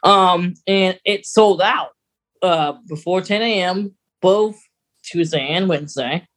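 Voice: mid-range voice, slow, fairly bright tone. Casual podcast co-host with a middle-range voice. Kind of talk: casual chat about fan news.

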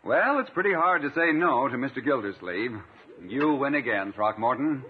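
A man's voice: medium pitch, expressive, normal speed, natural voice. An actor playing a chummy, self-assured, presumptuous man in a 1950s radio comedy, polite and glib. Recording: tinny 1950s radio recording, faint hiss.

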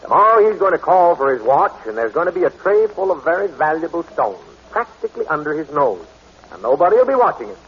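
Cocky, bossy police inspector, very husky, big swings in delivery; muffled vintage radio drama. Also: male voice, on the high side, big pitch swings, quick pace, a bit bright, exaggerated voice. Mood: gloating, impatient. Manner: gloating, bossy. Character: blustering, cocky, impatient male police inspector. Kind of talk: 1940s radio acting. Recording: dull 1940s radio recording.

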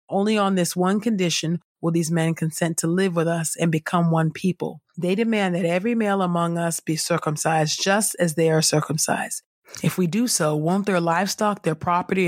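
A woman reading scripture aloud as a podcast host, in a measured, clearly enunciated audiobook style. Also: lowish voice, dry recording, clean studio sound.

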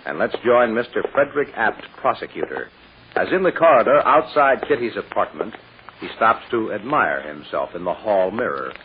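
A man announcing and narrating a 1940s radio drama in a scripted, measured way with deliberate dramatic pauses. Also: on the low side, very animated. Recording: muffled, hissy old radio recording.

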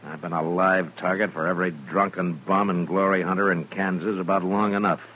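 A man's voice: low voice, steady tone, fairly quick, sharp tone, low-quality recording. A man acting in a 1950s radio western as a weary frontier marshal, laconic and wry, with dry humor. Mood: weary, decisive. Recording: vintage radio recording, faint noise.

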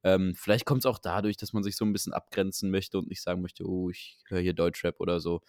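A man's voice: low-pitched, little pitch movement, fairly quick, darkish tone, no room sound, studio quality. A young man in casual podcast chat, talking in a tentative, rambling, colloquial way as a co-host. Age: young adult.

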